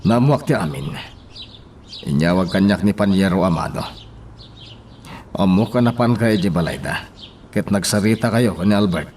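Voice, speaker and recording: An actor playing a concerned father gravely counseling his daughter in a radio drama. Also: deep voice, lively intonation, moderate pace, pauses often, darkish tone, slight background noise.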